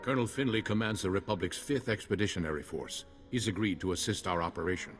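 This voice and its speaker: A man playing an earnest, steady Jedi commander who gives serious tactical briefings in a video game. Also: low voice, quick pace, lightly acted. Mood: measured. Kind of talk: video game cutscene acting. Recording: long hall reverb, faint game music.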